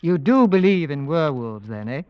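Lively male investigator, swooping intonation, inquisitive, sceptical, probing, 1950s radio drama, lo-fi sound. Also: a little high, moderate pace, smooth. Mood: curious, probing. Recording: dull vintage radio recording.

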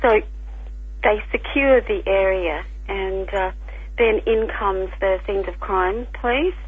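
Woman guest, croaky and raspy, over a tinny phone line; careful, explanatory. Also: medium pitch, slow pace, dry recording. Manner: careful, explanatory, with small restarts. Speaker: guest scientist and author. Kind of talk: radio interview, explaining.

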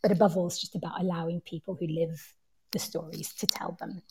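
A woman from Zimbabwe speaks conversationally as a journalist and presenter. Her voice is lowish and husky, with a breathy edge. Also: fairly even intonation, a little fast, low-quality recording. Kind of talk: conversational self-introduction.

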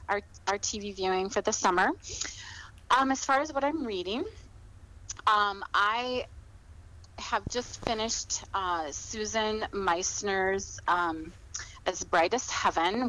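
A woman in middle age talks as a guest in a casual interview. She is chatty and informal, circling back to repeat phrases as she builds her answers. Her pitch sits in a middle range for a woman. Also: a bit bright, low-quality recording. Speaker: podcast guest, author.

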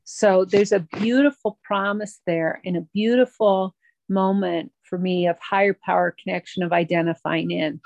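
A middle-aged woman sharing her recovery story at a twelve-step meeting, halting and reflective, with many pauses and restarts. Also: on the low side, fairly even intonation, creaky, a little mellow, no room sound. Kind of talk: personal recovery share.

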